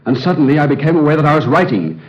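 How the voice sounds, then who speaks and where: Brief: a haunted young scholar, hesitant and anxious, lively pitch, dull old radio sound. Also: male voice, racing. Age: young adult. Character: haunted young male archaeologist, nervous. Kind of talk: 1930s radio drama acting. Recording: dull 1930s radio recording.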